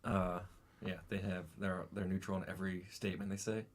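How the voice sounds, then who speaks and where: A man's voice: low voice, flat delivery, brisk, noisy background. A man chatting casually with his co-player while streaming a board game.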